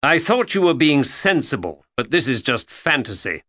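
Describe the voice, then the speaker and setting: A man's voice: mid-range voice, very animated, normal speed, a bit bright, muffled recording, voice pushed up. A man voicing a weary, skeptical grown man who answers in a dry, exasperated way. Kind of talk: audiobook character voice.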